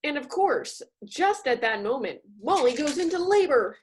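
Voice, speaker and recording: A woman's voice: high voice, big pitch swings, moderate pace, slight background noise. A woman comedian reading scripted comedic narration with wry commentary.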